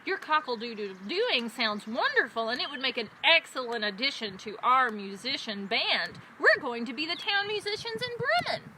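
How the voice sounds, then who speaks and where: A woman's voice: sits high, sing-song, normal speed, few pauses, background noise. A woman voicing a friendly, encouraging donkey in a children's story, eager and given to braying. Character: friendly, encouraging donkey leader of animal band.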